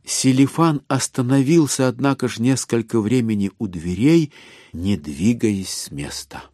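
A man narrating a literary audio drama in a measured, expressive storytelling manner with deliberate pauses. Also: medium pitch, dry recording, studio quality. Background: music with violin.

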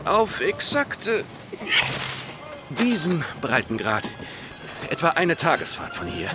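A man's voice: a little high, wildly swooping pitch, takes it slowly, heavy background noise, exaggerated voice. A man acting a nervous, deferential subordinate naval officer in an audio drama, speaking hesitantly. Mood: hesitant, formal. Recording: music behind the voice.

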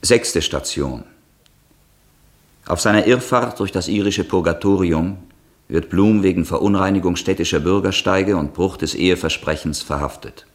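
A man introducing a German radio drama as a formal, sober, deliberate narrator. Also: deep voice. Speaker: announcer, narrator.

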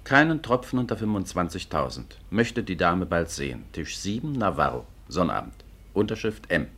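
An actor playing a courteous, businesslike police detective in a 1950s radio drama, speaking formally and matter-of-factly. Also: male voice, deep voice, very animated, a bit bright, husky, exaggerated voice. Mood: businesslike, explanatory. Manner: measured, matter-of-fact, formal. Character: courteous, businesslike male Scotland Yard detective.

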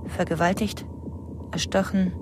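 A woman's voice: lowish voice, level tone, a little slow, background noise, natural voice. An actress playing an anxious, fearful wife in a radio drama, narrating her night thoughts in clipped fragments. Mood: anxious, detached. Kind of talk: radio drama inner monologue.

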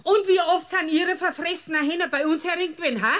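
A woman's voice: very high voice, fairly even intonation, fast, a bit bright. A woman playing an indignant, scolding old farmer's wife with a rustic Bavarian dialect in a comic radio drama.

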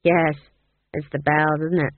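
A timid young jester, acted by a narrator, earnest and nervously polite, his pitch bobbing up and down. He sounds weary but grateful, in a close, dry storybook reading. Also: expressive, fairly quick, breathy edge, dry recording, narrow, tinny audio.

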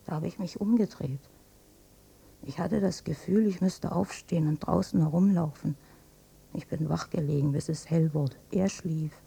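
Radio drama mother, troubled and weary, dark husky tone, plain-spoken intimate recollection. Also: low-pitched, normal speed, full character voice. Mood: bitter, disgusted. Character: weary, troubled German mother recalling her wartime marriage.

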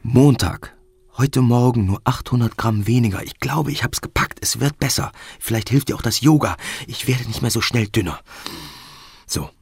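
An actor playing an anxious, driven banker speaking a private audio diary in a radio drama, his voice creaky and husky. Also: mid-range voice, moderate pace, no room sound. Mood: relieved.

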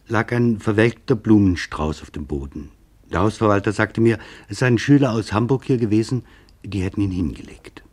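A man narrating a scripted literary documentary in a grave, measured way with deliberate pauses. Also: on the low side, a little mellow.

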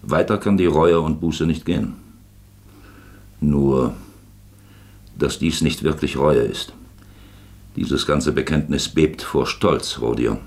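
An actor playing a shrewd, patient magistrate in a radio play, speaking deliberately and probingly with a fatherly air. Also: extremely low pitch, a little mellow, exaggerated voice. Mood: probing, ironic. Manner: deliberate, probing, pausing for weight. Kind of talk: German radio play acting.

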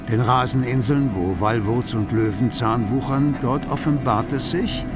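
An actor playing the wryly observant narrator of a literary radio play about city life. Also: male voice, medium pitch. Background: music.

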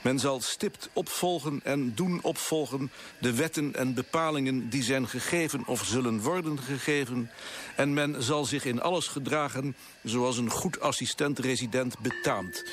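A man's voice: mid-range voice, normal speed, a bit bright, slight background noise, close to an everyday voice. A man playing a stiff colonial resident in a radio play, reciting in a formal, ceremonial manner. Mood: solemn, official.

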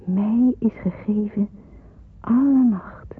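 An actress playing an earnest, devout young woman in wartime hiding in a radio drama, with a husky, breathy voice. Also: mid-range voice, takes it slowly, slight background noise, lightly acted. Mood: devout, solemn. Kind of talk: radio drama acting with narrated monologue. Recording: muffled, phone-like radio play recording.

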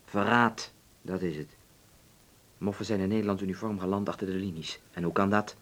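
A man's voice: sits low, steady tone, brisk, a bit bright. An actor playing a cynical, defiant man in a wartime family radio play, with a blunt, sardonic manner. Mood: accusing.

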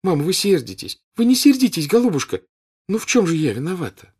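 A man voicing an excitable teenage girl in an audiobook, breathless and insistent in a high, squealing voice. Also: a little fast, breathy edge, heavily acted. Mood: pleading.

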